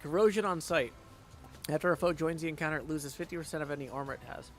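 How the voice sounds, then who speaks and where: A man's voice: fairly high pitch, fast talker. A man giving casual live gameplay commentary as a streamer, chatty and thinking aloud, sometimes singing little tunes to himself. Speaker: video game streamer. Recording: game music behind the voice. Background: video game music.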